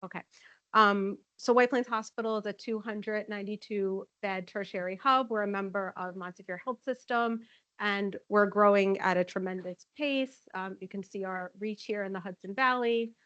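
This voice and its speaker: A woman giving a chatty conference talk as a nursing informatics manager, with a loud voice and many "um" fillers. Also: mid-range voice, normal speed, no echo, lo-fi sound. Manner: friendly, chatty, frequent "um" fillers. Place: conference room. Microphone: handheld or podium microphone.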